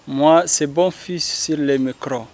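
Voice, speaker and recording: A man's voice: a little high, fairly even intonation, slow pace, a little mellow. A young man, hosting an interview programme into a handheld microphone. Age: young adult. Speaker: interview host. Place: outdoors by a house wall.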